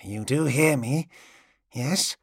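A man voicing a calm, imperious, sardonic commander in a story, with a wry, pompous, measured delivery. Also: mid-range voice, husky, dry recording, clean studio sound.

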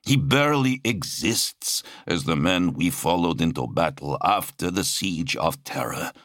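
A man voicing a grave, brooding warrior in an audiobook, speaking softly and earnestly in measured phrases. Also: deep voice, lively intonation, dry recording, clean studio sound. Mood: earnest, pleading. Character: grave, brooding, fear-sensing male warrior brother. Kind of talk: dramatic audiobook character voice.

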